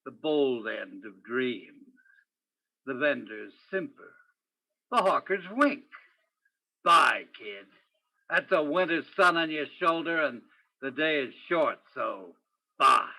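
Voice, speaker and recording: Guy as a hardboiled 50s radio detective, brooding n poetic, slow, gravelly. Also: mid-range voice, animated, sharp tone, full character voice, low-quality recording. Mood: wry, bleak. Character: world-weary, poetic hardboiled police detective narrating his beat. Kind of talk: 1950s radio noir narration.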